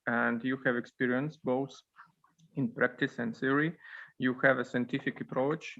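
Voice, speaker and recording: A man's voice: medium pitch, gravelly, fairly bright tone. A man, a doctor on a panel, speaking with non-native phrasing. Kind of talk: online panel discussion. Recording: dull call audio.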